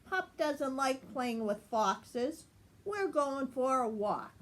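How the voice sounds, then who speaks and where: Woman as a high-voiced, polite young storybook girl animal. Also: lively intonation, slow pace. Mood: apologetic, firm.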